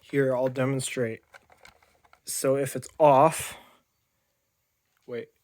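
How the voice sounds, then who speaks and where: A man giving an unscripted show-and-tell as an amateur radio hobbyist, halting and often repeating and rephrasing himself. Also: mid-range voice, flat delivery, darkish tone, no echo. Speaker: amateur radio hobbyist demonstrating homemade gear.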